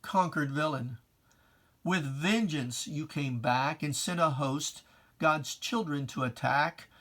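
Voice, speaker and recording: A man's voice: a little high, slow, fairly bright tone. A man giving a dramatic reading of religious verse in an emphatic, declamatory, theatrical manner. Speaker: poetry reader.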